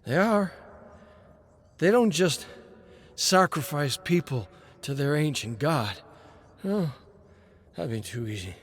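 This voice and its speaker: A man acts out a battered old man in an audio story, defiant and weary. The voice is slow and strained, pitched a little above middle, struggling through halting words. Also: expressive. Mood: intense, bitter. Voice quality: strained, struggling with every word.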